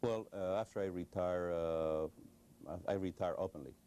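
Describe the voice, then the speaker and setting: A man's voice: low voice, moderate pace, dark tone, a little hiss or hum. A middle-aged man from Cuba with a Cuban Spanish accent, answering interview questions hesitantly with many fillers and restarts. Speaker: interview guest. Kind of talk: recorded television interview.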